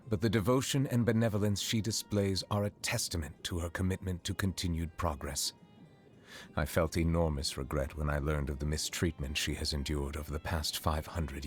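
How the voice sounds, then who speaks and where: A low-pitched, grave video game character acted by a man: an ancient dragon who sits as chief judge. He is courtly and reserved, choosing formal words with stately care. Music plays underneath. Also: close to an everyday voice. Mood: grave, respectful. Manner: formal, measured, stately diction. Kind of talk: scripted game character voice lines.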